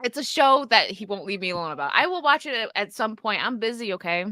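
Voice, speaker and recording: A woman's voice: mid-range voice, very animated, fast, sharp tone, no room sound, clean studio sound. A woman hosting a casual livestream chat, laughing often and thanking viewers for donations. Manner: giggly, bubbly, laughs often. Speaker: livestream host.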